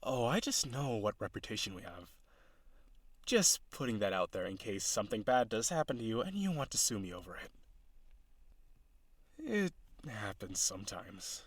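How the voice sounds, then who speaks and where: A man's voice: fairly low pitch, sing-song, moderate pace, long pauses, no echo. A man playing an awkward, businesslike villain on a blind date in an audio roleplay, talking to the listener in a hesitant, dry, earnestly formal way.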